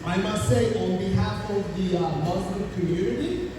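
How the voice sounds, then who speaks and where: A man's voice: high voice, moderate pace. Brash Turkish-accented male comedian, echoey club. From Turkey. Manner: brash, profane, punchy delivery. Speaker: stand-up comedian. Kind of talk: stand-up comedy set. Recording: echoey club from far off with noise.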